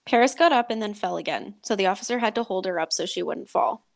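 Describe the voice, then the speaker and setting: A woman's voice: mid-range voice, fast, vocal fry. A woman podcast host, talking and reading a story aloud in a dramatic way.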